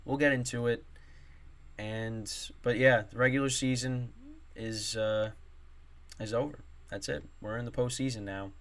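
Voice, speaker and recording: A man's voice: medium pitch, fairly even intonation, a little slow. A young man hosting a sports podcast solo, rambling with many fillers and restarts, his voice strained from screaming at a game. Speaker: podcast host.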